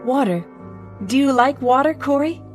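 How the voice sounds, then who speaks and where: A woman's voice: high voice, animated, normal speed. An actress in a radio drama playing a curious, innocent humanlike robot woman with a polite, literal manner. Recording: music behind.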